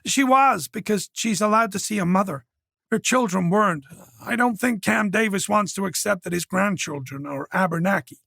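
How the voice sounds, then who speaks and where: A man voicing a nervous young man who pleads in a higher, anxious voice. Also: normal speed, vocal fry, sharp tone, no room sound, studio quality, heavily acted. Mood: pleading.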